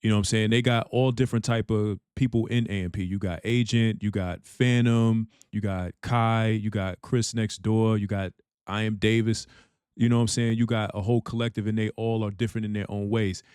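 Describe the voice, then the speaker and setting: A man with a deep voice hosting a reaction channel, chatting casually and filling his talk with "you know what I'm saying.". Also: fairly even intonation, a little fast, darkish tone, clean tone, dry recording, studio quality.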